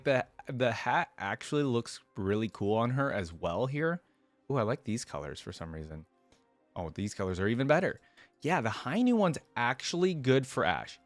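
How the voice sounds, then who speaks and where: A man's voice: medium pitch, no echo. A man hosting a casual video game reaction, thinking aloud in informal, slangy speech and addressing his viewers directly.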